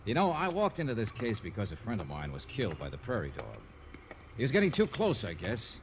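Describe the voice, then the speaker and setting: A tough, commanding private eye with a low voice that swings in pitch. He talks in brusque, clipped hardboiled lines, as in a noisy old 1940s radio serial. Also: huge pitch swings, a little fast. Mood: deliberate, pressing. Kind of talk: 1940s radio detective drama acting.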